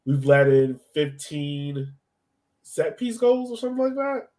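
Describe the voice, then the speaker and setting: A man's voice: a little high, sing-song, unhurried, dark tone. A man hosting a sports podcast in casual chat, with a colloquial, buddy-to-buddy way of talking.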